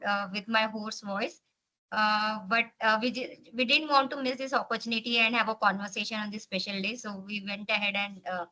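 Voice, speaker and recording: A woman with an Indian English accent hosting a podcast interview, her voice hoarse, speaking hesitantly with many fillers. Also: fairly high pitch, bright, forceful tone, rough recording. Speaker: podcast host.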